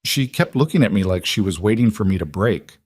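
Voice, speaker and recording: A man's voice: lowish voice, lively intonation, a little fast, darkish tone, slightly rough, dry recording. Guarded woman executive, voiced by a male narrator, weary, candid, storybook dialogue, dry sound.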